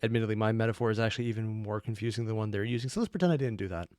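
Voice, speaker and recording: A man's voice: lowish voice, racing, a little mellow, soft background music. A man giving casual, off-the-cuff commentary as a reaction host, analytical and prone to rambling asides and correcting himself.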